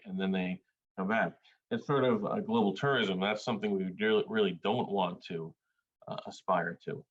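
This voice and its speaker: A man originally from Bangladesh, a surgeon giving an academic panel presentation in a measured, hesitant way, with many filler sounds. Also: lowish voice, dull audio.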